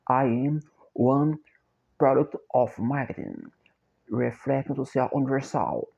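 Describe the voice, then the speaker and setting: A middle-aged Brazilian man with a Portuguese accent, speaking English slowly and haltingly in a repetitive, chant-like monologue. He repeats short phrases over and over like a mantra. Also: medium pitch, big pitch swings, gravelly, a little mellow. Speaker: self-described copywriter and philosopher. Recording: dull lo-fi recording.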